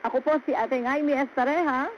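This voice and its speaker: A woman's voice: high-pitched, fairly even intonation, normal speed. A woman with a Filipino accent anchoring live radio news coverage. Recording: muffled, noisy radio broadcast.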